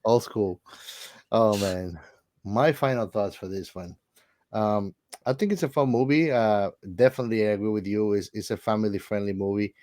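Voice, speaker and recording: A man's voice: lowish voice, moderate pace, a little mellow, dry recording. A man co-hosting a casual film chat, talking in an informal, jokey way. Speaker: podcast co-host.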